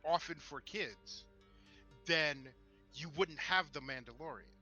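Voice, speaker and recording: A man with a very bright, forceful voice chats casually as a podcast co-host. The audio is a little dull and lo-fi, with faint music behind him. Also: fairly high pitch, slow pace. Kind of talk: casual discussion.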